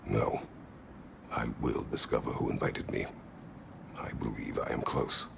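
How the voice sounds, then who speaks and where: An actor playing a stern, brooding, battle-worn god of war in a video game, with a gravelly growl and terse, grave delivery. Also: male voice, rumbling low, little pitch movement, measured pace, background noise, heavily acted. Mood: resolute. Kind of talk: dramatic video game acting.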